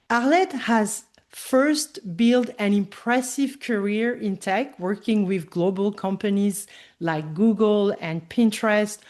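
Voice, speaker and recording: A woman hosting a podcast, reading a scripted introduction with a measured delivery before interviewing her guest. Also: mid-range voice, darkish tone. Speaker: podcast host.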